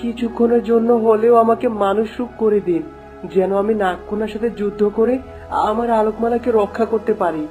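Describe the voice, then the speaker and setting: A woman's voice: on the high side, fairly even intonation, brisk, warm tone, faint music behind, natural voice. Woman as an earnest, devoted cartoon bird. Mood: pleading. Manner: earnest, pleading. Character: anxious devoted bird, pleading would-be rescuer. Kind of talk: dramatic cartoon voice acting.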